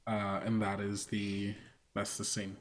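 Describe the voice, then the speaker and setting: A man improvising scene narration for a tabletop roleplaying game, halting and deliberate as he searches for words. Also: lowish voice, monotone, slight background noise. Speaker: game master and player on an actual-play podcast.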